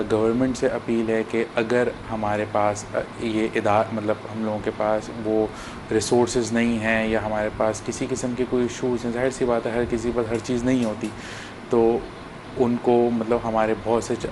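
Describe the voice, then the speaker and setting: A young man making a halting appeal in an interview, switching in and out of English phrases. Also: on the low side. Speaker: bereaved son being interviewed. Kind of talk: spoken public appeal. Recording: clear background hum and noise.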